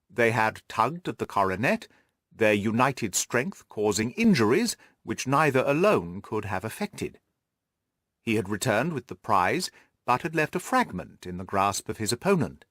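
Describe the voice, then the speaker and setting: A man reading the part of a shrewd, self-assured gentleman detective, precise and methodical, in an audiobook. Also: medium pitch, no room sound, studio quality.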